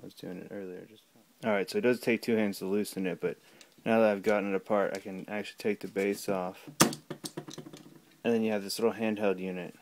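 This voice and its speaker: Low-voiced man, casual demo, noisy recording. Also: flat delivery, fairly quick, long pauses. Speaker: hobbyist gadget demonstrator. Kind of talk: casual unscripted product demo. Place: small room at home. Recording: clear background noise with clicks.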